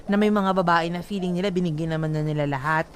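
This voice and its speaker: Casting: radio co-host for casual interviews, mid-pitched voice, playful teaser who jokes readily. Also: slight background noise. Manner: teasing, playful, quick to joke. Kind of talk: casual teasing interview banter.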